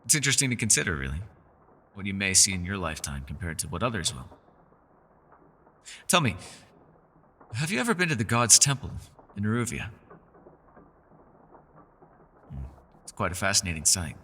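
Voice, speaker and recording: A man's voice: low voice, very animated, a little fast, pauses often, pushed and bright, in a large hall, heavily acted. A man playing a mysterious, scholarly elf in a fantasy roleplay, with a refined, theatrical and teasing manner. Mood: musing, wistful. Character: mysterious, scholarly male elf, playful yet guarded. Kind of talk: fantasy ASMR roleplay, scripted character acting.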